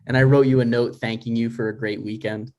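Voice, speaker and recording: A deadpan grown son, played by a man in a comedy table read, dry and skeptical. He talks conversationally in a mid-range voice, with small hesitations. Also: medium pitch, brisk, close to an everyday voice. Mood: wry, fond.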